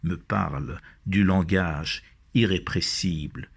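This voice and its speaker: A man reciting poetry in a solemn style, with measured, deliberate phrasing. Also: low voice, vocal fry, husky.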